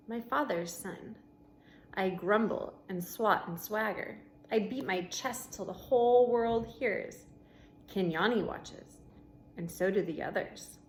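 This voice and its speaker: A woman reading a children's book aloud like a teacher to pupils, expressively, with deliberate pauses between short phrases and casual explanations. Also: mid-range voice. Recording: noticeable background noise.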